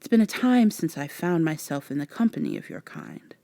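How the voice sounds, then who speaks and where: Haughty, teasing vampire woman acted by a woman, ASMR drama; lowish, close and dry, measured formal speech. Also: lively intonation, gravelly, warm tone, no echo. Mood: amused, condescending. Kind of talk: soft-spoken ASMR roleplay acting.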